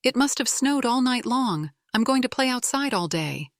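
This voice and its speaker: A woman's voice: a little high, expressive, normal speed, a little hiss or hum, pitched above the performer's own voice. A woman voicing a bossy but caring young girl for a storybook, exclaiming and grumbling as an exasperated big sister. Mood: excited.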